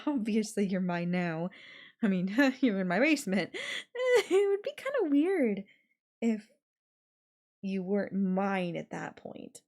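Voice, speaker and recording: A woman playing an obsessive, possessive girlfriend in an intimate audio roleplay, sweet and coaxing with a menacing edge and lingering pauses. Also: medium pitch, darkish tone. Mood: adoring, possessive.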